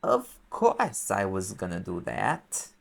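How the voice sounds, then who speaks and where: Man acting a gravelly, sarcastic private eye. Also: sits low, huge pitch swings, takes it slowly, full character voice. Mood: wry, explaining. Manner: sarcastic, exasperated, conversational explaining. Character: sarcastic male private eye mage, impatient and wisecracking. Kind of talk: audio roleplay acting, one side of a dialogue.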